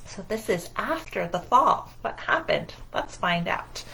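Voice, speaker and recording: A woman leads a children's storytime at an unhurried pace. She is encouraging and puts questions to her listeners. Faint noise sits behind her medium-pitched voice. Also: animated, gravelly, pushed and bright, rough recording. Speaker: library storytime host. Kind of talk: children's read-aloud and singalong.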